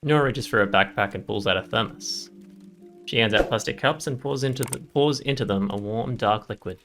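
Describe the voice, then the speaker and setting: A man with a low voice hosts a game playthrough over faint music. He reads narration aloud and drops in casual comments. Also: fairly quick, a bit bright. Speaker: video game playthrough host. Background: faint background music.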